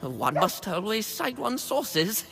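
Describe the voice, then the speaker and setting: An actor playing a bookish apprentice librarian in a video game, fussy, nervous and awkward, prone to stammering and correcting himself. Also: male voice, sits high, big pitch swings, slow, creaky, music in the background, full character voice. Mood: flustered, sheepish. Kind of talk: video game character dialogue. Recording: long reverb, faint background noise.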